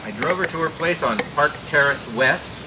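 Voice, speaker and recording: An actor playing a wisecracking, cool private detective who narrates in slangy old radio noir style. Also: male voice, fairly high pitch, lively intonation, moderate pace, lightly acted. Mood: wry, cool. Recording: noisy old radio drama recording.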